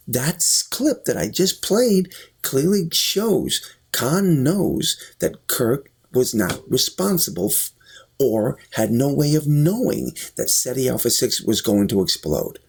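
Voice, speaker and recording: A husky, lowish-pitched man gives his opinion as a video commentator. He is emphatic, often putting rhetorical questions to viewers, and his pitch moves around a lot. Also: a little mellow. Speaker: video commentator and sci-fi fan reviewer.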